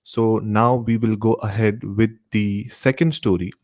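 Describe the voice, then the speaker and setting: A man's voice: on the low side, steady tone, a little slow, darkish tone. A man from Mumbai with an Indian accent, presenting a show to listeners as its host.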